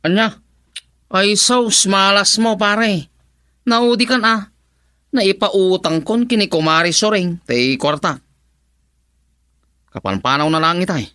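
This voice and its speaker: A man's voice: high voice, slow pace, long pauses, sharp tone, no echo, studio quality, exaggerated voice. An actor playing a joking male friend and drinking buddy who teases his pal, in a radio drama. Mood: teasing. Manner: teasing, laughs often.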